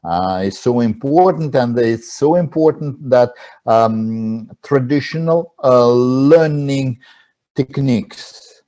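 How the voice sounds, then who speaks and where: Man, non-native english speaker, slow, mellow dark voice, halting with fillers, video call. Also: medium pitch, lively intonation. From abroad, moved to Canada. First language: not English. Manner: halting, many fillers and restarts. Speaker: panelist. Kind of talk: roundtable discussion on a video call.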